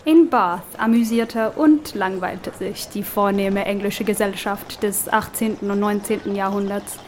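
A woman's voice: medium pitch, a little slow. Woman, commentator, scripted explanation, dry wit, mid-range voice, slight background noise.

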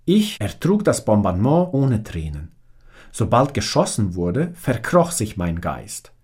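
A man's voice: lowish voice, sing-song, a little slow, darkish tone, slightly rough. A man in his forties from Bosnia with a strong Bosnian accent, reading his own memoir as an audiobook in a direct, plain way.

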